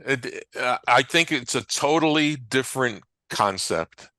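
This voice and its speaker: A man speaking as a guest researcher in casual discussion, haltingly piecing his thoughts together. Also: mid-range voice, animated, sharp tone, no echo. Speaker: guest, old-time radio researcher.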